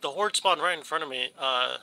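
A man's voice: on the high side, a little fast, creaky, pushed and bright, slight background noise, rough recording. Rambling gamer man, stuffy nose. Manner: rambling, many ums and restarts. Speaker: gaming YouTuber. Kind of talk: casual let's play commentary.

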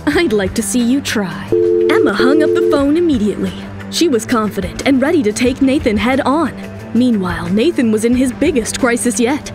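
Female narrator, high voice, emphatic dramatic storytelling, music underneath. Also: lively intonation, fairly quick. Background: dramatic background music.

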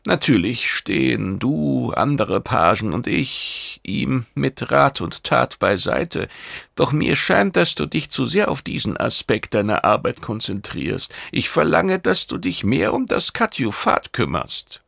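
Man voicing stern, imperious mentor, raised pitch. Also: very animated, unhurried, gravelly, fairly bright tone, no room sound, clean studio sound, full character voice. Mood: worried, insistent. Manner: imperious, lecturing. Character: stern, demanding mentor and oracle, admonishing a pupil. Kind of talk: audiobook character acting.

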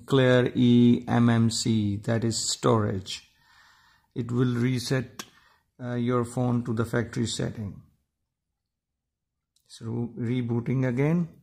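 A man giving an informal step-by-step tech tutorial, explaining in a halting, repetitive way. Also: medium pitch, vocal fry, a little mellow. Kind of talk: informal step-by-step how-to narration.

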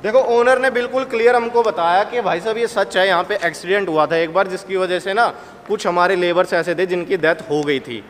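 A man's voice: sits high, a little fast. A man, chatting casually to camera as a vlog host, explaining things step by step in colloquial speech. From India. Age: 20s. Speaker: host of a haunted-location exploration vlog. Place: large derelict factory and overgrown grounds at night. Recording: echoey derelict building with clear background noise.

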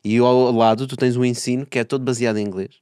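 A man's voice: mid-range voice, steady tone, fast talker, dark tone, clear, resonant tone, no room sound, studio quality. A man in his thirties with a European Portuguese accent, hosting a podcast and chatting casually, often seeking agreement. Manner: conversational, checks agreement with tag questions. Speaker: podcast host. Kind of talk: casual interview chat. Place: podcast studio. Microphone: boom-arm studio mic.

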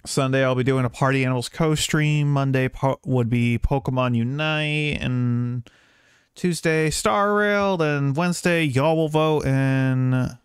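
Clear-voiced man streaming games casually. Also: mid-range voice, a little slow, dry recording, studio quality. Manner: rambling, swears casually. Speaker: game streamer, host. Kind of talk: casual livestream chat to viewers.

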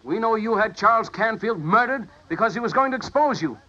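A righteous district attorney in an old radio serial. His voice is very high and forceful, full of indignation, on a muffled vintage recording. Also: expressive, fairly quick, heavily acted. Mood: indignant. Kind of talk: 1940s radio acting.

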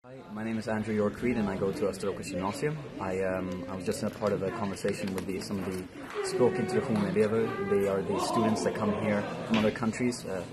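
A man's voice: on the low side, fairly even intonation, fast talker, loud music behind the voice. A young man in his late teens from Sweden, a student answering interview questions. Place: large bright indoor school common area.

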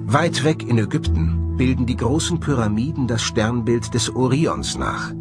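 A man presenting scripted documentary narration in an expressive, dramatic manner. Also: mid-range voice, normal speed, natural voice. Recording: music under the voice. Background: music.

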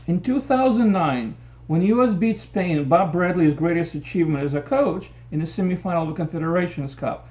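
A man's voice: high voice, mellow. A middle-aged man giving an opinionated post-match soccer analysis, talking to a webcam in a small room. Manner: opinionated, gestures while explaining tactics. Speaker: soccer commentator. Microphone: webcam at desk.

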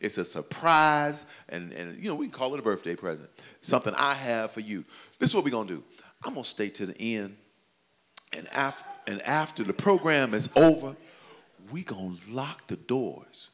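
A man in his forties from doing stand-up comedy at a church celebration, swinging between loud high exclamations and low confiding asides. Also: animated, moderate pace. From Chicago, USA. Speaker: comedian pastor. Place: banquet hall at a church event. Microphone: handheld microphone. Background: audience laughter.

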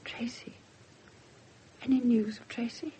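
An actress playing a weak, anxious injured mother in a 1970s radio drama, speaking faintly and haltingly. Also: fairly high pitch, flat delivery, dark tone, a little hiss or hum, close to an everyday voice, poor audio. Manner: faint, halting, breathy delivery.

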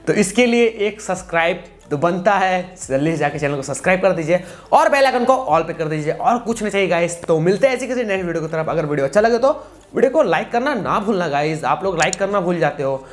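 A man's voice: high-pitched, animated, fast. A man, giving a casual, repetitive step-by-step tutorial. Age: 20s. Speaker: tutorial host. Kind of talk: casual video-editing tutorial.

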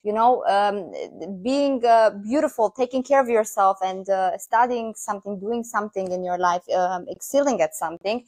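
A woman's voice: medium pitch, normal speed, few pauses, a little mellow, no echo. A woman in her thirties with a Romanian accent, a guest dermatologist talking emphatically with many fillers on a video call. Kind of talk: casual interview chat. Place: indoor room with sofa. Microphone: lapel mic on remote video call.

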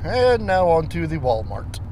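American male vlogger, thirties, higher-pitched, folksy and playful, casual driving chat with noticeable engine and road noise. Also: big pitch swings, measured pace, darkish tone. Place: inside a pickup truck cab while driving. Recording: noisy car interior, engine and road noise.

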